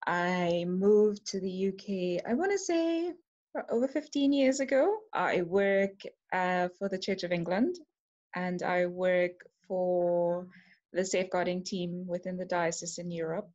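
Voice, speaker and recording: A woman's voice: lowish voice, unhurried, a little mellow, muffled recording. A woman in her forties from Trinidad and Tobago, with a Trinidadian accent, talking earnestly and reflectively about her life while seated at home before a laptop camera. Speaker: interviewee sharing her story. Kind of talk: personal testimony to camera. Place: home room, seated on a sofa.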